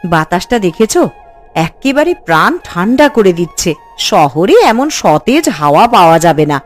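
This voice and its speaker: A woman's voice: lowish voice, sing-song, unhurried, soft background music. A woman voicing a doting city wife and mother visiting a village in a cartoon. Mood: delighted. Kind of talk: cartoon character dialogue.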